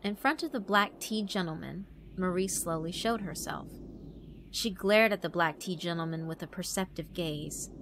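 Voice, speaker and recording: A woman's voice: lowish voice, normal speed, fairly bright tone, slight background noise, rough recording. A woman narrator reading a horror visual novel as dramatic scripted storytelling.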